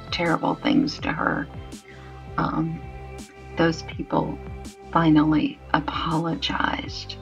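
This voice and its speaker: Slow gravelly lady rambling thoughtfully while painting, kinda dull lo-fi sound, faint music. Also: low voice. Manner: slow, thoughtful, digressive, with many restarts. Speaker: painting vlogger. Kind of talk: casual reflective monologue while painting.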